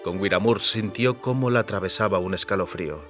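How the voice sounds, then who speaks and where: A man reading scripted literary narration for an audiobook with measured, deliberate phrasing. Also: low-pitched, fairly bright tone. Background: faint music.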